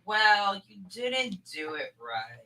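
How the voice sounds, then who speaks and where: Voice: mid-range voice, big pitch swings, takes it slowly, pushed and bright, heavily acted, low-quality recording. A young performer playing an eager, pestering boy in an old-time radio comedy, insistent and childlike. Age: teenager.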